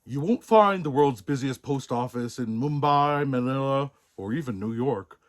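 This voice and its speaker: A man narrating a children's story as a storybook reader, expressive, playful and theatrical, relishing the sound words. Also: medium pitch, normal speed. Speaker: storybook reader in a Santa persona.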